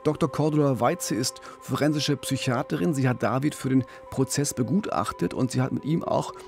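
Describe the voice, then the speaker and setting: A man's voice: mid-range voice, normal speed, gravelly, a little mellow, soft background music. A middle-aged man co-hosting a true-crime podcast, telling a case conversationally into a studio microphone. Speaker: podcast co-host, crime reporter. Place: small radio studio. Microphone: studio microphone with pop filter, headphones.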